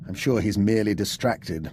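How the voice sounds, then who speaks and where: A man acts a polished spy in a video game, wry and urbane. His voice is fairly low and dryly witty, over faint ambient noise. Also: normal speed, darkish tone, natural voice. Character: urbane, wry male intelligence operative.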